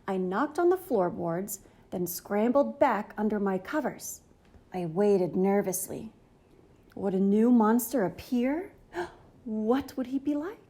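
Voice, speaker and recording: Slow, expressive woman storyteller. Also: medium pitch. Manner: enthusiastic, dramatic. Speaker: storybook reader. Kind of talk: expressive children's story narration.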